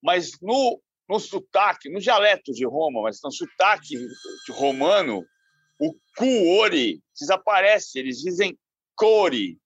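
A man's voice: high-pitched, sing-song, a bit bright, slightly rough, no room sound, dull audio. A man speaking as a sports journalist on a podcast, reeling off dates and facts from memory. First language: Portuguese. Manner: knowledgeable, rattles off dates and facts quickly. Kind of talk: podcast discussion, storytelling.

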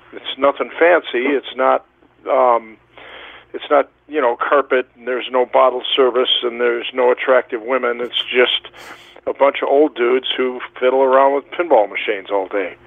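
An older man of medium pitch speaks over a narrow, phone-like radio line with no room sound. He tells his story casually, plainspoken and self-deprecating. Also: steady tone, normal speed, creaky, no echo. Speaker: interview guest, pinball museum founder.

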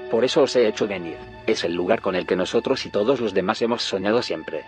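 An actor playing a calm, secretive male scientist in an audio drama, speaking in a measured, cryptic, explanatory way. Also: lowish voice, faint music behind, natural voice. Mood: grave, cryptic.

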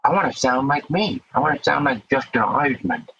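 A man's voice: medium pitch, expressive, moderate pace, creaky, fairly bright tone, lo-fi sound, a little hiss or hum. A man with a deaf accent, a podcast guest speaking earnestly about his life, sometimes repeating words as he starts. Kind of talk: casual interview talk.

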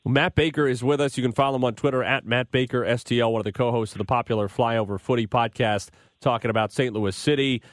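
A male radio host presents a live sports talk show. His voice sits at a medium pitch, and the sound is dry and close, with very little room around it. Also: steady tone, fast talker, no room sound.

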